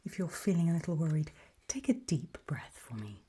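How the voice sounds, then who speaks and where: A woman whispering softly and breathily in an ASMR roleplay, giving calm, deliberate instructions with pauses, close to the microphone. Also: sits low, slight background noise. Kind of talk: whispered ASMR roleplay, scripted guide briefing.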